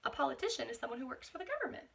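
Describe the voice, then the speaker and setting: A woman reading a picture book aloud to children in an expressive teacherly way, stopping to explain things. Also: sits high, very fast, vocal fry, husky. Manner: expressive, explains words and asks questions to young listeners.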